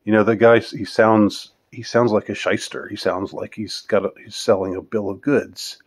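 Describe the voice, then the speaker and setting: A man in his fifties with a fairly low voice talks casually as a vlogger taking questions. He speaks off the cuff in a halting, rambling way, often letting a thought trail off before picking it up again. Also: little pitch movement, husky. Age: 50s. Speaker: vlogger answering viewer questions. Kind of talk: casual unscripted question-and-answer monologue.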